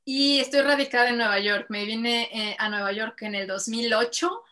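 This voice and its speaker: Mexican woman, 30s, singer guest, bright and a touch high, chatty with fillers, webcam audio from home. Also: moderate pace. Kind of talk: casual interview over video call. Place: home room with bookshelves and string lights. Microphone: video call webcam.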